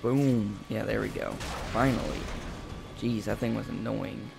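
A man comments on his video game session in a relaxed, chatty way, coaching himself through each move. His voice sits low and sounds rough and gravelly, since he has just woken up. Game music runs steadily behind him. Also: measured pace. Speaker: gameplay commentator. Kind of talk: casual let's-play commentary. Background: game music and sound effects.

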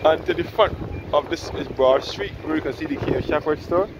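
A man's voice: fairly high pitch, normal speed. Guy walking and pointing stuff out, keeps correcting himself, wind noise, kinda muffled. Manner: rambling, self-correcting guide. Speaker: walking tour vlogger. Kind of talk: casual walking-tour narration. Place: outdoors on a sunny harbour boardwalk. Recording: windy outdoor recording, muffled.

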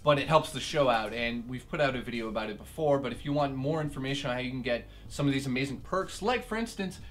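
A young man with a hoarse, failing voice and a postnasal cough, co-hosting a casual channel update in a small poster-covered room, blunt and joking. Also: mid-range voice, quick pace, a bit bright, slight background noise. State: hoarse, losing his voice. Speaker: co-host.

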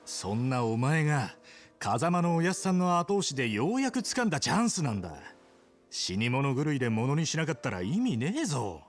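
An actor playing a brash, ambitious young yakuza in a video game cutscene, with rough, blunt, goading speech. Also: male voice, mid-range voice, big pitch swings, moderate pace, soft background music. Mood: pushy, impassioned.

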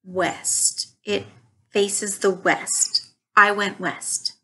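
A reserved 1890s wife on the witness stand, played by an actress. She speaks slowly in a soft-edged, breathy voice of middle pitch, giving short, careful answers in a courtroom drama. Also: flat delivery, exaggerated voice. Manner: brief, plain, careful answers. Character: reserved married woman, 1890s trial witness. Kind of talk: dramatic reading of court testimony.

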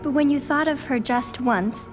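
A woman voicing a gentle, sad teenage girl in a video game, speaking softly and hesitantly. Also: sits high, normal speed, natural voice. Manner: soft, hesitant, wistful. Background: music.

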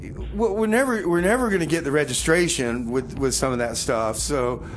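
Man as a higher-voiced, halting retired radio host. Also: a crowd in the background, close to an everyday voice, low-quality recording. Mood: halting, explaining. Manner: halting, repeats himself. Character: nagging retired radio host supervising his bumbling successor. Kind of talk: comedy radio theater.

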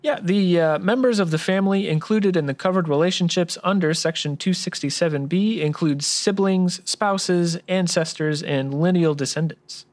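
A man's voice: high-pitched, moderate pace, few pauses, close to an everyday voice. A man playing an earnest, polite tax expert in a scripted noir radio drama, speaking precisely and courteously. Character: earnest by-the-book male tax expert, polite detective type.

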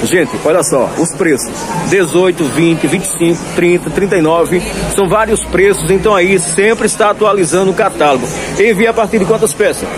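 High-voiced salesy man in a crowd. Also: normal speed, over music. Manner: upbeat salesy patter, repeats prices. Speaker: clothing wholesale vlogger. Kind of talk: market walk-through sales vlog. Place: busy indoor clothing market. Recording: noisy crowded market. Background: crowd chatter.

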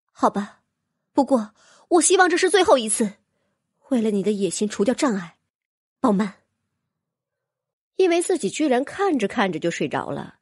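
A woman's voice: mid-range voice, a little mellow, slightly rough, no room sound, clean studio sound. A woman narrating fantasy fiction as an audiobook reader, in a scripted, dramatic storytelling style.

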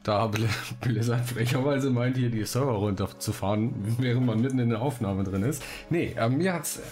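A German man hosting a let's play, casually commenting on the game in a relaxed, chatty way with enthusiastic slang. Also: medium pitch, normal speed.

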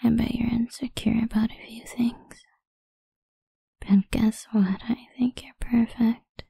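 A woman playing a possessive, seductive goth vampire idol in an intimate, breathy ASMR whisper, close to the microphone. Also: a little high, fairly even intonation, slow, warm tone, no echo, full character voice. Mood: adoring.

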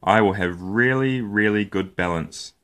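A man reading a picture book aloud for young children in an expressive storytelling style, with deliberate, playful phrasing. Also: lowish voice, clear, resonant tone.

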